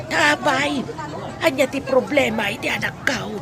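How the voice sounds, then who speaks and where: High-pitched, blunt gossiping villager. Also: female voice, unhurried, vocal fry, a loud crowd. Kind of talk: Philippine radio drama acting.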